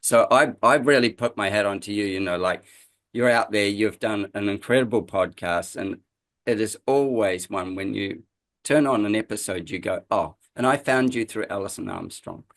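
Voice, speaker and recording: A man's voice: lowish voice, moderate pace, fairly bright tone. A man hosting an interview podcast, speaking in a conversational, reflective way. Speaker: podcast host.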